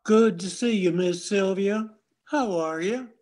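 A man's voice: sits high, measured pace, darkish tone. An older man playing a kind, honest widowed shopkeeper in a radio drama, friendly and courteous. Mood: friendly, sympathetic. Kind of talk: 1940s radio drama acting, read from script.

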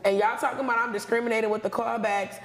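A woman's voice: a little high, steady tone, fast. An American woman hosting a casual talk show, brash and playful, with heavy slang and swearing. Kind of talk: casual chat with callers. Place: small studio lounge with couches. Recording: noisy, reverberant studio sound with clicks.

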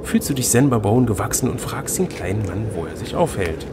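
A man narrates a gamebook as game master, slipping between storytelling and casual chat. His intonation swings widely, and there is clear noise behind him. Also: lowish voice, expressive, brisk. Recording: noticeable background noise.

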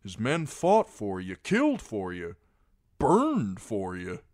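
A man's voice: fairly low pitch, huge pitch swings, slow, dry recording, clean studio sound, heavily acted. Man voicing an earnest, impassioned, plain-spoken knight. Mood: impassioned, pleading. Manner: insistent, impassioned, rhetorical. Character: earnest, blunt, plain-spoken male knight pleading for mercy.

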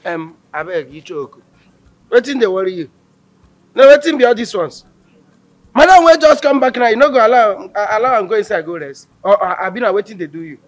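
Casting: meddling Nigerian houseboy, very high-pitched, blustering and quick to scold, film comedy. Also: male voice, expressive. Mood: pleading, annoyed. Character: hot-tempered, meddling male household servant.

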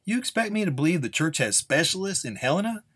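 Man voicing a husky older gunslinger, gruff and wry. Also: a little high, very animated, quick pace, a bit bright, pitched above the performer's own voice, exaggerated voice. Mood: gruff, teasing.

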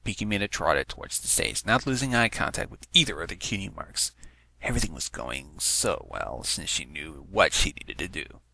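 A man's voice: low voice, animated, moderate pace, creaky, sharp tone, a little hiss or hum, low-quality recording. A man narrating a fan fiction story, reading aloud from a script.

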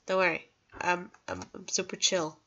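A woman's voice: fairly low pitch, flat delivery, moderate pace, a bit bright, muffled recording. A young woman chatting casually over gameplay, rambling, self-deprecating and reassuring, recorded on a home computer microphone. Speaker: gaming video creator.

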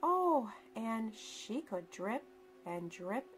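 Woman, children's storyteller, unhurried, expressive, swooping intonation, dramatic emphasis, soft background music. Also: on the high side. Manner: deliberate, dramatic emphasis for young listeners. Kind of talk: expressive children's picture book read-aloud.

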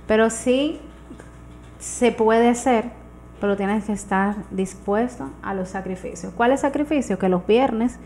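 Dominican woman over clear background noise. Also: on the high side, measured pace, darkish tone. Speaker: guest entrepreneur. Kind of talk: casual radio interview. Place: small radio studio, indoors. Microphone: studio microphone on arm.

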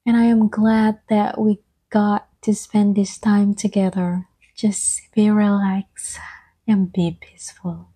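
A woman speaks softly in a slow, soothing ASMR meditation style. Her voice is mellow and breathy, often thinning toward a whisper. She leaves long, gentle pauses between short phrases. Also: mid-range voice, flat delivery. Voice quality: soft-spoken, breathy, often slipping into whisper. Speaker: ASMR guide and host.

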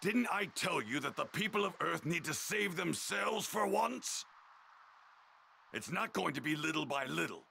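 A proud alien warrior with a gravelly, echoing voice over game music. He is commanding and impatient, swinging from low growls to high barks as in an anime game cutscene. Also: lively intonation, a little slow, sharp tone, a little hiss or hum, heavily acted. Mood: stern, impatient. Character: proud, gruff, imperious male alien warrior, barking orders. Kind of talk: English dub of an anime video game cutscene.

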